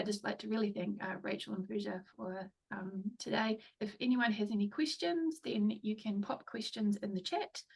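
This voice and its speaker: Woman host, gravelly voice, sits back from the mic, casual hesitant intro. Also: medium pitch, far from the mic. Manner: informal, hesitant, many ums. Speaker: webinar host for a patient charity.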